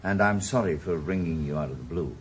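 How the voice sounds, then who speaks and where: A man's voice: low-pitched, brisk, slight background noise, close to an everyday voice. An actor playing a weary retired policeman turned private eye in a radio drama, dry and laconic. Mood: probing. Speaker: private investigator. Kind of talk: 1980s radio drama acting.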